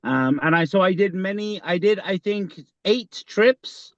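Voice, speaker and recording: A man, co-hosting a podcast in casual conversation, halting with many fillers and restarts. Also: sits high, animated, dry recording. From Iran. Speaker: podcast co-host. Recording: slightly dull lo-fi sound.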